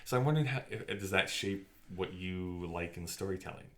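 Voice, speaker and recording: Male co-host, deep voice, informal podcast chat, musing and self-correcting. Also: a little fast, fairly bright tone. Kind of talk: casual conversational discussion.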